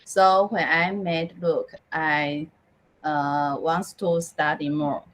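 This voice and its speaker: Slow, low-voiced woman from Taiwan. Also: steady tone, fairly bright tone. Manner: hesitant, with small laughs. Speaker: meeting participant. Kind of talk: self-introduction in English.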